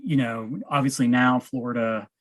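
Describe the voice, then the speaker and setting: A man's voice: mid-range voice, steady tone, normal speed, dry recording. Podcast host: American man, mid-range voice, informal and reflective, dry studio-close sound. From Massachusetts, United States. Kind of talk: casual interview conversation.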